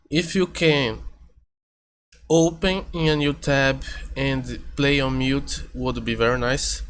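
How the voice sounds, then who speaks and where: Slow, halting man streaming. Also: medium pitch, fairly bright tone, a little hiss or hum. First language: Portuguese. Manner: halting, self-correcting, thinking aloud. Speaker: vtuber streamer. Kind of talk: casual reaction stream chat. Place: home room with air conditioner hum.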